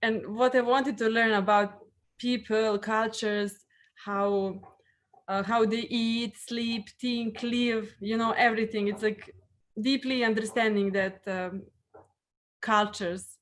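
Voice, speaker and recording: A woman's voice: a little high, fairly even intonation, slow, a little hiss or hum. A young woman from Serbia with a Serbian accent, answering interview questions in English with frequent fillers and repeated words. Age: young adult. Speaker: guest.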